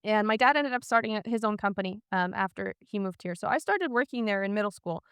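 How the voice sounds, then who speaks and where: A woman's voice: medium pitch, a bit bright, clear, resonant tone, no room sound, clean studio sound. A woman from Iceland speaking as a podcast guest, telling her career story in a candid, chatty way.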